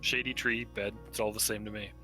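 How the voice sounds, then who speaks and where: A man voicing a gruff, laconic loner adventurer with a terse, deadpan delivery in a tabletop game. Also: lowish voice, a little fast, soft background music, full character voice. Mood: aloof, laconic. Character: laconic, gruff male loner adventurer who dislikes books.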